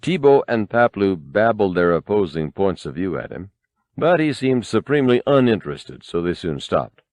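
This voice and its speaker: Male narrator for adventure fiction: lowish pitch, animated range, measured dramatic delivery, dry sound. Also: no echo. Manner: expressive, measured storytelling. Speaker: audiobook narrator. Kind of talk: scripted dramatic narration of a novel.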